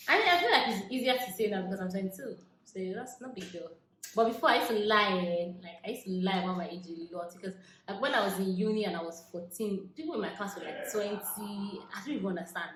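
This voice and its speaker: A young Nigerian woman talks straight to camera in a relaxed question-and-answer vlog. She laughs easily and often lets her voice drop into quiet asides. The room is echoey, with a faint hiss behind her. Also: mid-range voice, quick pace. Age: 20s. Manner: chatty, laughs often, drifts into soft asides. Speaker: vlogger. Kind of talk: casual Q&A chat to camera.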